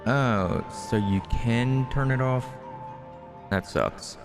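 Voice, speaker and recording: A man's voice: mid-range voice, slow. A man giving casual, offhand, joking commentary as he plays a video game and reads dialogue aloud. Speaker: gaming streamer. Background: game music.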